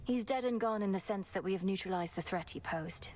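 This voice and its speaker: A woman's voice: medium pitch, a little mellow, natural voice. Game role: woman as dutiful young underworld princess, formal, composed, earnest; faint noise behind. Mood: composed, resolute. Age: young adult. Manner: formal, measured, courteous diction. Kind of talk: video game voice acting.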